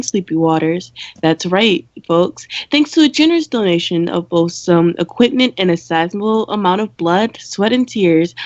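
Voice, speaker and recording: A young woman playing a dry, cynical high school senior girl who co-hosts a campus radio show in an amateur radio play. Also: no room sound, lo-fi sound, lightly acted.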